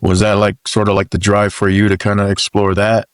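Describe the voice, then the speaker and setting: A man's voice: lowish voice, completely flat delivery, fairly quick, smooth, no echo, clean studio sound. A man co-hosting a podcast, asking easygoing questions and affirming the guest. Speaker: podcast co-host. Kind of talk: casual interview questions.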